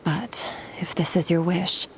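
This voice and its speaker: A low, guarded female voice, performed by an actress for a cold young warrior in a video game. She speaks with measured restraint, carrying guilt beneath a stoic front, over clearly audible music. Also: steady tone, natural voice. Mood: subdued, sorrowful.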